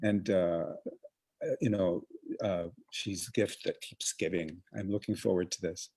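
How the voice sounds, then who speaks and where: A man who was raised in Uganda co-hosts a relaxed online arts program. His voice sits a little low, and he talks loosely, trailing off with plenty of fillers. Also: unhurried, slightly rough. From Congo, raised in Uganda. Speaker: co-host, museum programmer. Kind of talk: casual live-stream chat.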